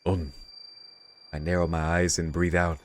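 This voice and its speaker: A man's voice: deep voice, little pitch movement, measured pace, a little hiss or hum. A man hosting a let's read, reading visual novel narration aloud and dropping in casual asides. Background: game music.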